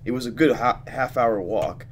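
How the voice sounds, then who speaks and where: A man playing a visual novel, reading the text aloud and thinking out loud expressively as he goes. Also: medium pitch, moderate pace, slight background noise. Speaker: let's player reacting to a visual novel. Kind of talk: gaming commentary mixed with reading game text aloud.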